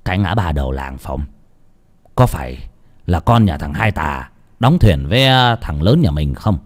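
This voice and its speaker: A man voicing a gruff, scoffing older village husband with a blunt, grumbling manner in a ghost story. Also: deep voice, big pitch swings, moderate pace, voice pushed down. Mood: calmer, pondering.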